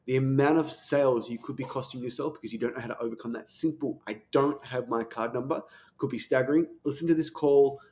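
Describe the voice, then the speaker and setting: Man coaching, quick and emphatic. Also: mid-range voice, a little mellow. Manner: talks fast with occasional stutters. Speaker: sales coach and host. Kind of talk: coaching commentary on a played sales call.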